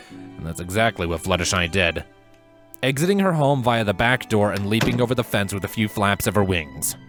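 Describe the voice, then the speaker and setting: A man narrating a scripted story in a measured, dramatic storytelling manner. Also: fairly low pitch, a bit bright. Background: music.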